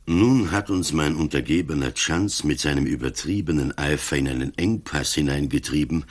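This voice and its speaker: An actor playing an old police commissioner in a radio play, cool, dry and sardonic in a deliberate way. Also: male voice, a bass voice. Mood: wry, measured. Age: older.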